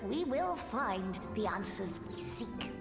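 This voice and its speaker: An assured fantasy scholar, explains things in formal, precise phrases for a video game. Her medium-pitched voice rings with hall reverb over a musical backdrop. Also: sing-song, slow pace, creaky, music in the background, exaggerated voice. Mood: confident. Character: confident, precise female scholar in a fantasy world. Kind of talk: scripted video game voice acting.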